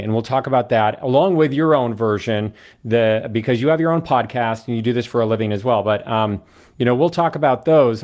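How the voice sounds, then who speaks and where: Male host, true crime interview, conversational, medium pitch. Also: darkish tone.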